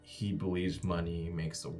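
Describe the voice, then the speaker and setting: A man playing a tabletop roleplaying game, casually improvising a character description in a halting, conversational way. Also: sits low, level tone, darkish tone. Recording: music playing underneath.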